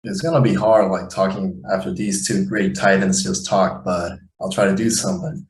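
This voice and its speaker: A man's voice: deep voice, steady tone, normal speed. A young adult man speaking on a video call as a panelist, modest and chatty. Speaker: panelist, medical student. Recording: echoey room with faint noise.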